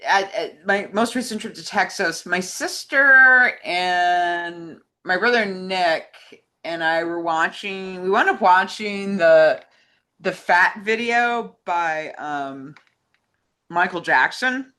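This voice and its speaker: A woman's voice: lowish voice, slow pace, bright, forceful tone. A woman co-hosting a casual conversation and reading out questions from fans. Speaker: co-host reading fan questions.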